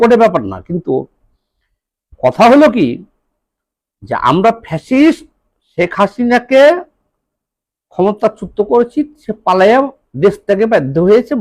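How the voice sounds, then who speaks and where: High-voiced, animated Bangladeshi man commentating. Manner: deliberate, halting phrases with rhetorical questions. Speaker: political commentator. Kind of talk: political monologue to viewers.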